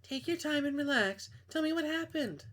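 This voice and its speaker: A caring, protective woman from a visual novel, voiced by a man, talks in a raised pitch. She is steady, casual and straightforward, the reassuring friend. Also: moderate pace. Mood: calming.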